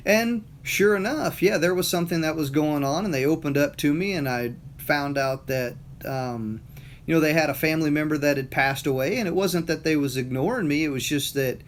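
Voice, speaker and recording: Rambling Southern man, slightly high voice. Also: fairly quick, a little hiss or hum. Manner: rambling, repeats words, lots of fillers. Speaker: motivational talker. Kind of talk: casual monologue.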